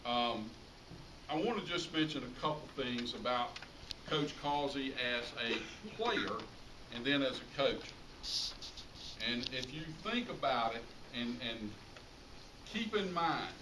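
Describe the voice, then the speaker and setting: A middle-aged American man giving an informal tribute speech to an audience, speaking emphatically and repeating phrases for effect. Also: mid-range voice. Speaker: high school public address announcer giving a tribute speech. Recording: roomy, distant mic, clearly noisy ambience.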